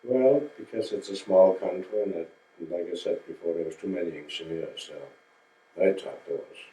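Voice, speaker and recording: Older man from Denmark, very low voice, mellow tone, plain storyteller, roomy recording from afar. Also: far from the mic. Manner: slow, plain storytelling with restarts and self-corrections. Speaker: interviewee. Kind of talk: oral history recollection.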